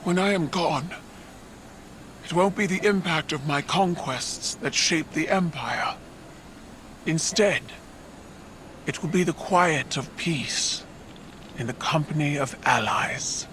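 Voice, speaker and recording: Stern, bright-voiced warrior empress. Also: female voice, sits low, a little hiss or hum. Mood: solemn. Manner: solemn, commanding, measured. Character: proud warrior alien empress, stern and resolute daughter. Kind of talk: cinematic video game acting.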